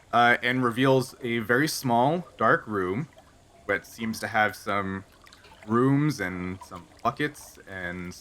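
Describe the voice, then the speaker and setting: A man's voice: lowish voice, bright, forceful tone, slight background noise. A man acting as game master, narrating a tabletop game in an informal, joking, conversational way. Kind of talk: improvised game narration, casual chat.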